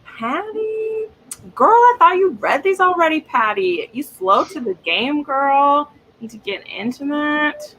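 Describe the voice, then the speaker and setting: A woman's voice: sits high, lively intonation, unhurried, a bit bright, low-quality recording. Chatty woman hosting over background noise. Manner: gushing, teasing, slangy. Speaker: host of a romance readalong livestream. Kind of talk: casual group chat.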